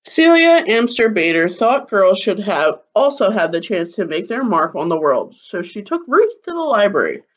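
A woman in her thirties reading a children's picture book aloud like a schoolteacher, with deliberate phrasing. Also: medium pitch, sing-song, highs cut off. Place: living room at home, seated on a couch. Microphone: webcam or laptop mic at about arm's length.

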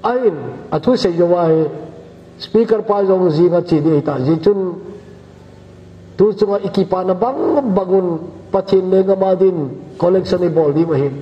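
An older man from northeast India preaching a measured, unhurried sermon as pastor in a church hall. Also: sits high. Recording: echoey church hall, dull lo-fi sound, faint hiss.

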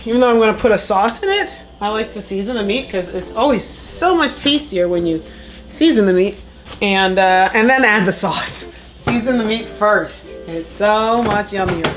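Chatty mom talking while cooking. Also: female voice, mid-range voice, lively intonation, normal speed. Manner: chatty, upbeat, laughs often while working. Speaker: vlogger, mom cooking dinner. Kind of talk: casual cooking vlog chat. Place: small home kitchen. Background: music and clattering pots and dishes.

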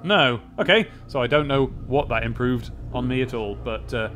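Guy doing a let's play, chatty n a bit silly, normal pitched voice, game music pretty loud behind him. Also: lively intonation, moderate pace. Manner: chatty, rambling, playfully whimsical. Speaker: gaming video host. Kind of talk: casual let's play commentary with dramatic reading of game text. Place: small home office with desks and monitors. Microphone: boom-arm microphone with pop filter.

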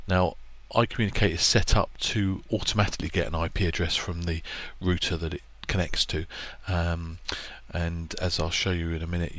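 A man talks through a product setup in a very low, easygoing voice. He pauses often with ums and restarts as he explains each step, recorded as a voice-over on a screen capture. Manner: relaxed, lots of ums and restarts, plainspoken. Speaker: product presenter for a marine electronics maker.

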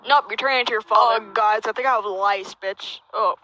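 A woman's voice: fairly high pitch, fast talker, pushed and bright. A woman doing a silly, profane, theatrical amateur comic dub, improvised as she goes. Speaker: amateur voice-over performer. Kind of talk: crude comic dub of a puppet show, improvised. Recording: noisy home recording with clicks.

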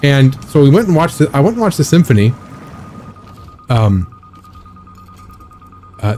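Rambling man streaming a game, slightly raspy. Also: mid-range voice, dark tone. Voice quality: slightly raspy, scratchy throat. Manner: rambling, chatty, reads and answers chat. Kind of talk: casual gameplay live stream chat.

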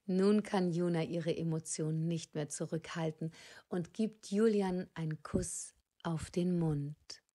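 A woman's voice: lowish voice, fairly even intonation, unhurried, warm tone. A woman narrating a children's story in a scripted audio drama.

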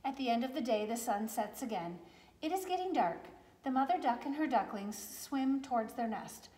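A woman in her forties with a fairly high voice reads aloud for a children's story time. She phrases things deliberately so that small listeners can follow. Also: expressive, dark tone, a little hiss or hum. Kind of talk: read-aloud picture book storytelling. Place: large indoor room with high wooden ceiling.

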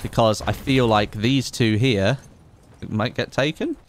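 A man's voice: medium pitch, unhurried, slight background noise. Chatty man streaming a game. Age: late 20s. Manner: chatty, reads chat aloud, sarcastic asides. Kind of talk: casual live stream commentary.

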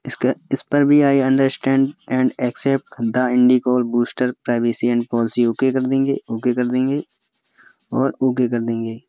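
A young man with an Indian accent walks through a phone app step by step. His voice is mellow and smooth, with little rise and fall. The recording is dry and slightly muffled. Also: medium pitch, flat delivery, slow pace, no echo. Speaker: tutorial host.

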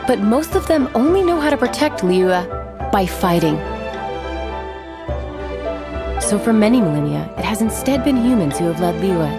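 An actress playing a composed, knowing, gently wry woman who runs an inn in a video game. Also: mid-range voice, very animated, music in the background. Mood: knowing, informative. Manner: measured, knowing, lightly amused.

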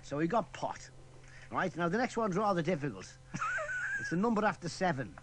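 A man's voice: sits high, huge pitch swings, moderate pace, noisy background. A man hosting a radio quiz with casual, teasing and exasperated banter, prone to laughter.

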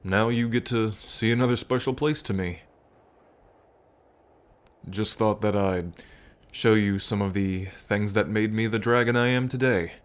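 A dragon king, acted by a man speaks intimately to the listener in an ASMR roleplay. His voice sits fairly low and he takes his time, very slow and musing, with pauses between thoughts. He comes across as fond, reflective and proud of his ancestors. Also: lightly acted. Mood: tender. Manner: unhurried, musing, pausing, softly chuckling between thoughts.

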